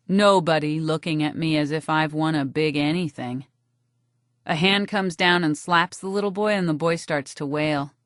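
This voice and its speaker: A woman narrating a first-person novel for an audiobook with a wry, deadpan delivery. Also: fairly low pitch, no room sound, clean studio sound.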